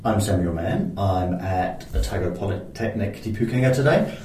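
A man's voice: on the low side, expressive, moderate pace, dark tone, slight background noise. A man hosting a radio interview, welcoming his guest and asking short, friendly questions. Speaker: radio show host. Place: room at a polytechnic. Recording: roomy, distant mic.

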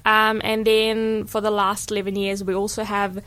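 A woman's voice: medium pitch, level tone, moderate pace, fairly bright tone, smooth. A young woman hosting a casual community radio show in a studio, talking in a friendly way with many ums and restarts. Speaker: radio host.

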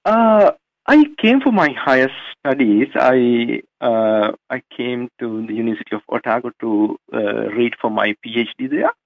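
A man in his thirties with a Sri Lankan accent, whose native tongue is Sinhala, talks hesitantly, pausing on fillers. His voice has a gravelly edge. It comes through a dry, narrow, phone-like line. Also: medium pitch, animated, no echo. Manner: hesitant, many fillers and restarts. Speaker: radio show host, guest. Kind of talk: interview answers.

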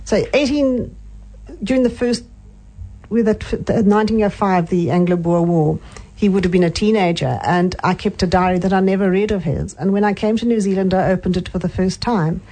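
Older woman, South African accent, husky, mellow, reminiscing interview guest. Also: mid-range voice, moderate pace, low-quality recording. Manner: reminiscing, with soft hums of agreement. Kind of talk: casual interview chat.

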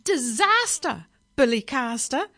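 Bossy, shrill, screeching parrot. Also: female voice, very animated, takes it slowly, pushed and bright, full character voice. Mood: scornful. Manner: impatient, bossy.

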